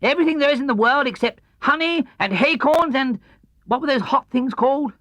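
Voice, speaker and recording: Storybook young tiger: high, swooping, loud, eager and full of boast. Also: male voice, animated, a little fast, no echo. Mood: eager, boastful. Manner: exuberant, loud.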